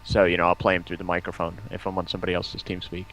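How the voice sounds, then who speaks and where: A man's voice: sits low, monotone, racing, fairly bright tone, rough recording. An American man talking casually as a guest on a stream. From United States. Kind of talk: casual chat.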